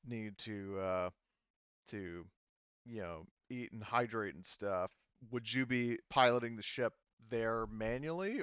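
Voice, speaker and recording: A man narrating a tabletop roleplaying game as game master, explaining things in a halting way. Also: on the low side, a bit bright, clean tone, dry recording, lo-fi sound.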